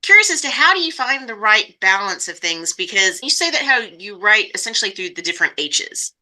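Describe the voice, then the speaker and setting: A woman with a strikingly bright, forward voice and mid-range pitch conducts an interview as a podcast host. Her questions come in a conversational style. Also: very animated, brisk, rough recording.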